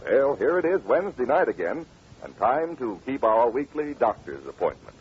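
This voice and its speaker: A muffled old radio recording of a male announcer with a polished sales delivery. His voice is very husky, medium in pitch and swings widely in scripted 1940s style. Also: big pitch swings, moderate pace.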